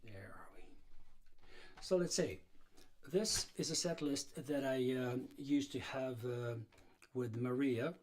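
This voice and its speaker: A man's voice: medium pitch, takes it slowly, long pauses, darkish tone. A middle-aged man from Serbia, hosting a blues guitar livestream in a casual, rambling way with many fillers and restarts.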